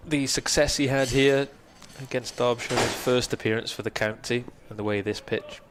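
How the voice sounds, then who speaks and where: A man whose first language is Welsh, giving calm, measured ball-by-ball cricket commentary. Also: medium pitch, noisy background. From Wales.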